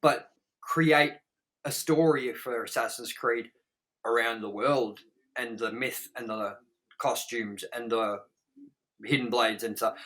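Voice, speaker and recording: A man with a bright, forward voice talks through an opinionated review as a podcast host. His delivery is casual and rambling, blunt and peppered with swearing. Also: mid-range voice.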